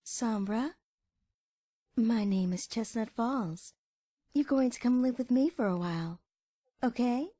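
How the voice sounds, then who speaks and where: A woman voicing a kindly, motherly mare, gentle and patient, in a cartoon-style comic dub. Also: on the high side, measured pace, long pauses, breathy edge, no room sound. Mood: kind, encouraging.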